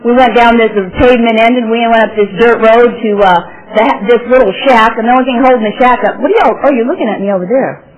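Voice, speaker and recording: A woman's voice: a little high, fast, highs cut off. A woman with a Southern twang shares her life story before an audience in a meeting room. She talks in a rambling, folksy way, circling back and repeating herself as she goes. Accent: Southern American twang. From California, USA. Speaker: speaker sharing her recovery story with an audience. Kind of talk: personal testimony talk.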